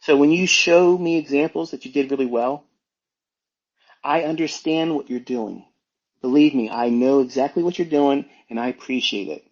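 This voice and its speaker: A man's voice: mid-range voice, moderate pace, dull audio. A man giving an informal, unscripted lecture as an educator, blunt and emphatic, repeating phrases for stress. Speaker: trading educator hosting an online audio talk.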